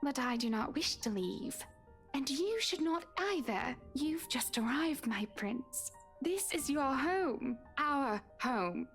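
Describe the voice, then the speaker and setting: An actress voicing an eerie, refined possessed wife in a horror game, with formal, old-fashioned, imploring speech. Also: female voice, high-pitched, animated, slow pace, soft background music, exaggerated voice. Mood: insistent. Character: possessed wife, eerie refined lady ghost clinging to home. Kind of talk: visual novel game voice acting.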